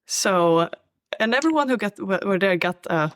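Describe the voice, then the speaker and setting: A woman's voice: medium pitch, lively intonation, normal speed, no echo. Chatty Swedish-accented woman on a video call. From Sweden. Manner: chatty, many "like" fillers, laughs easily. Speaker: app developer, co-host. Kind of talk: casual video-call chat. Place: indoor apartment room by a large window. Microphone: video call webcam.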